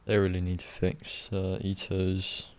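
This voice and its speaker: A man's voice: low-pitched, monotone, a little slow, darkish tone. A man streaming a game live, giving casual, offhand commentary in a flippant, muttering way.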